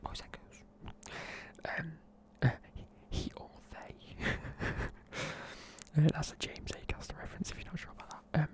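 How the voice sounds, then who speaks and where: A tired, sleepy speaker, whispering a rambling film review close to the mic in the style of an ASMR video. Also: normal speed. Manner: rambling, loose, lots of fillers. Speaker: YouTuber reviewing films.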